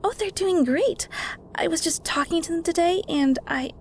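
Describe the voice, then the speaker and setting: Woman acting a high-voiced, meek young daughter. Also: moderate pace, slight background noise. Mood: nervous, defensive. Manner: hesitant, flustered, defensive. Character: meek, nervous, obedient young adult daughter, secretly smitten. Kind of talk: dramatic audio roleplay.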